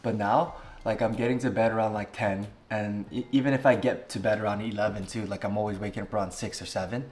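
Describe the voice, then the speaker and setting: A man's voice: on the low side, steady tone, fast, hardly any pauses, a little mellow. A young man from Hawaii vlogging unscripted, rambling earnestly with lots of "you know" and "like". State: jet lagged. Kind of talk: unscripted casual vlog talk.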